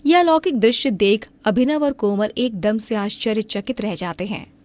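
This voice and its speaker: A woman's voice: medium pitch, moderate pace, a little mellow, no room sound. A woman reading scripted narration for a cartoon story.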